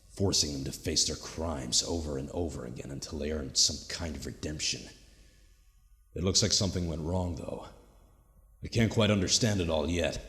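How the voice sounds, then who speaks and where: A man's voice: a bass voice, a bit bright. A man acting a weary, haunted soldier who records a grave, confiding survival log in an audio drama. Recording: echoey hall with long reverb.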